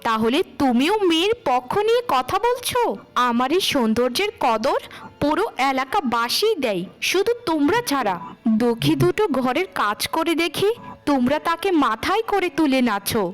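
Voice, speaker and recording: A woman's voice: high-pitched, sing-song, moderate pace, a bit bright. A woman playing a vain, haughty young beauty in a children's cartoon, boastful, scornful and snappish. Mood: snappish, indignant.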